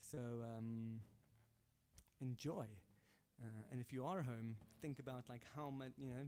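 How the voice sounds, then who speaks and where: A man's voice: medium pitch, warm tone, slight background noise. A man hosting a live guitar stream from home, chatting loosely with viewers, thanking them and reading their comments aloud with lots of fillers and restarts. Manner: hesitant and rambling, many fillers and restarts. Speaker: livestream host and guitarist.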